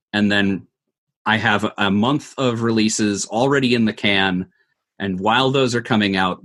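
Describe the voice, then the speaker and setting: A man's voice: lowish voice, fairly bright tone, no echo. A man speaking conversationally as an interview guest, explaining and advising in an earnest, emphatic way. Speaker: podcast producer, guest. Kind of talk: conversational interview answer, giving advice.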